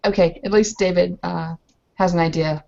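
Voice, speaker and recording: A woman's voice: fairly low pitch, steady tone, normal speed, vocal fry, darkish tone. A woman chatting casually and narrating as a player in a tabletop roleplaying game. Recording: dull muffled lo-fi audio.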